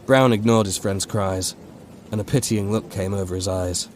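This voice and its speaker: A man with a very low voice narrates a horror audiobook. His delivery is measured and dramatic, recorded with faint noise behind it. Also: a little mellow.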